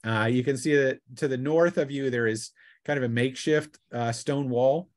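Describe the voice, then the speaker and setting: Male game master, mid-pitched, explaining rules and describing scenes with a halting, improvised delivery. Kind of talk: improvised tabletop game narration.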